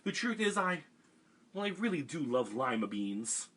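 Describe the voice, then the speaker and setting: A man's voice: on the high side, very animated, moderate pace, a bit bright, pitched above the performer's own voice. A man doing a whiny stubborn schoolgirl. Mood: pleading. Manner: whiny, groaning.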